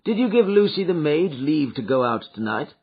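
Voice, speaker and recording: A man voicing a worried, dutiful young woman in an audiobook reading, in his own husky voice. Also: a little high, big pitch swings, normal speed, full character voice. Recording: dull lo-fi recording.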